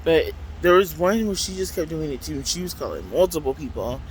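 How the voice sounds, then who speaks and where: Man vlogger, loud and a touch high-pitched, rambling casual chat on a phone outdoors, faint traffic under it. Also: animated, fairly quick. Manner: rambling, chatty, full of fillers. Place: outdoors at a transit stop by passing trains. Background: faint city traffic noise.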